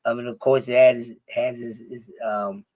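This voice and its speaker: A man's voice: medium pitch, flat delivery, measured pace, a little mellow, poor audio. Rambling, cussing Philly man. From Philadelphia. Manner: profane, rambling, with many restarts. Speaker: stream guest. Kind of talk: casual chat.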